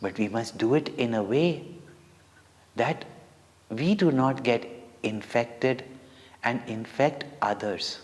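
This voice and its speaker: Slow-speaking Indian man in a reverberant hall. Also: medium pitch. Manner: deliberate, dramatic, with short pauses between phrases. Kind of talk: devotional storytelling for children. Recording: long reverberant hall echo.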